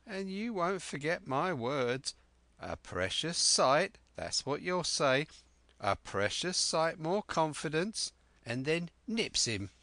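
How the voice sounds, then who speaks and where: A man voicing a half-wild old male castaway who speaks in a rough sailor's dialect, eager and cunning. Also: fairly high pitch, very animated, slow pace, bright, forceful tone, dry recording, voice pushed up, full character voice. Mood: earnest.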